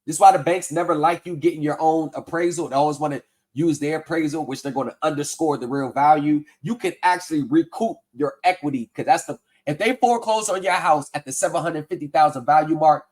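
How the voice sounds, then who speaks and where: Skeptical man explaining forms on a livestream. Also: a little high. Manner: conversational, skeptical, reads form text aloud. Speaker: livestream host debunking scams. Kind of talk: casual explanatory commentary.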